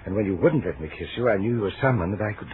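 An actor playing an obsessive, lonely, menacing admirer in a 1940s radio thriller, speaking in a halting, repetitive, intense way. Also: male voice, on the low side, lively intonation, natural voice. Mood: earnest, brooding. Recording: scratchy, narrow-band 1940s radio recording.

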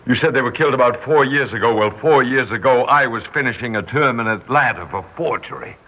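An actor playing a domineering, evasive middle-aged American forger who poses as a wealthy homeowner, curt and indignant in a 1960s radio drama. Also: male voice, mid-range voice, fairly quick, natural voice. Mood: protesting. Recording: noisy, muffled 1960s radio recording.

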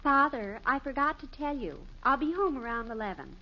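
Highish-voiced playful American daughter. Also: female voice, expressive, moderate pace. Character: affectionate, playful grown-up daughter. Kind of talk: 1950s radio situation comedy acting. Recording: muffled, hissy old radio recording.

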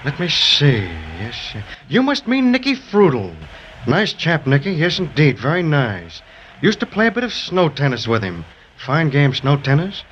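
A pompous, genial snowman gentleman, rambling in flowery phrases and repeating himself. The voice sits fairly high and swoops widely, in a muffled 1930s children's radio recording. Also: big pitch swings, moderate pace, slight background noise. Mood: jovial, rambling. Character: chatty, forgetful, genial snowman gentleman with silk hat.

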